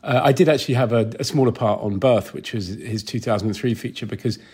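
A man's voice: mid-range voice, brisk, a little mellow, slightly rough. A man being interviewed about his work, talking casually and reflectively, thinking aloud and rephrasing as he goes. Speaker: film sound designer, interview guest.

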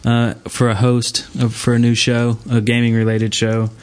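A male podcast host with a mid-range voice chats casually. His delivery is halting and rambles as he goes. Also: medium pitch, completely flat delivery, clean tone. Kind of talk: casual chat. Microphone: online voice call.

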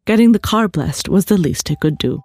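A woman reading her own literary fiction aloud as an author, with expressive narration. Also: medium pitch, fairly quick, no room sound. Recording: music bed behind the voice. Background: composed background music.

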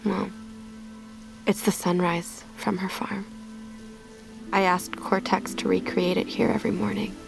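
An actress playing a weary, quietly vulnerable woman in a naturalistic audio drama, speaking in a candid, subdued way. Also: mid-range voice, flat delivery, measured pace, gravelly, pauses often, over music. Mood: reflective.

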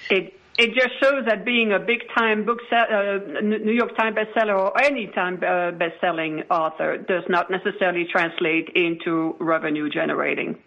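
Woman guest talking earnestly and haltingly. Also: lowish voice, lo-fi sound. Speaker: podcast guest, promoter of authors. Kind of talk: conversational interview talk.

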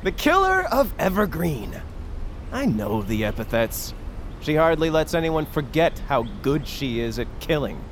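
An actor playing a grieving, enraged young grandson bent on vengeance in an audio drama, with impassioned, formal, old-world phrasing. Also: male voice, on the high side, very animated, unhurried, slight background noise. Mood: bitter grief. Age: young adult. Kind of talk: audio fiction drama acting.

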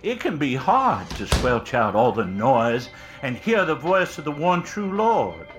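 An actor playing an earnest, solemn male preacher to truckers, giving a theatrical, deliberate sermon in an audio drama. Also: mid-range voice, sing-song. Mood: fervent.

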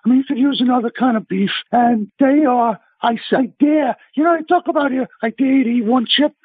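A high-voiced man with a thick Brooklyn accent talks over a tinny phone line. His voice is husky, and his delivery is punchy and sales-pitchy as he banters with a radio host. The sound is dry and close. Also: brisk, no room sound. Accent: Brooklyn New York. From Brooklyn, New York. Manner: chatty, emphatic, salesman-like banter. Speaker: celebrity chef guest. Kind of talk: casual phone-in radio chat. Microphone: telephone.

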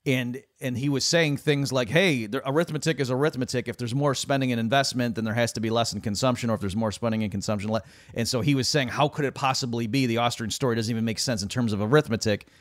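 A man's voice: mid-range voice, fast talker, few pauses, no room sound, clean studio sound. A man co-hosting a conversational podcast discussion, talking animatedly and quoting others in put-on voices. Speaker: co-host.

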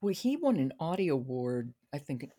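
A woman's voice: deep voice, big pitch swings, moderate pace, mellow, breathy edge. A woman hosting a book discussion, talking conversationally and sharing her opinions. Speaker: podcast host.

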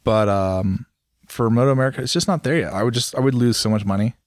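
A man's voice: mid-range voice, fast, no echo, studio quality. A man co-hosting a motorsport podcast, chatting casually in a rambling, self-deprecating way with frequent restarts. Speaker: podcast co-host, motorcycle news site owner. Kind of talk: casual chat.

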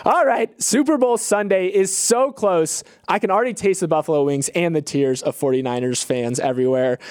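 A man's voice: a little high, a little mellow, no echo, clean studio sound. An American man co-hosting a podcast, giving partly scripted news commentary with a polished, punchy presenter's delivery. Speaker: podcast co-host.

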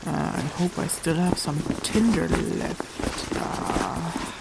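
A woman's voice: on the low side, heavy background noise. A woman acting a practical, commanding but caring rune-wielding traveller in a fantasy audio drama. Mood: muttering, grumbling. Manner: brisk, commanding.

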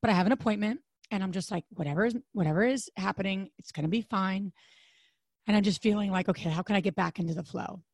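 Hoarse, raspy woman podcast host. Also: fast talker, darkish tone, no echo, studio quality. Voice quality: deep, raspy voice. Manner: chatty, rambling with fillers and restarts. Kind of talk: casual podcast chat.

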